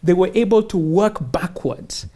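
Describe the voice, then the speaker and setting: A woman's voice: on the low side, unhurried, warm tone. A woman speaks as a finance instructor in conversation. She explains step by step with emphasis, setting up questions and then answering them. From Kenya or wider Africa. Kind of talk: explanatory interview talk.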